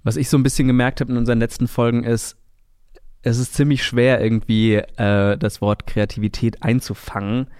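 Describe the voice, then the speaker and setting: A man's voice: mid-range voice, level tone, normal speed, a little mellow, clean tone, dry recording, studio quality. A man co-hosting a podcast in a conversational style. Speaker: podcast co-host.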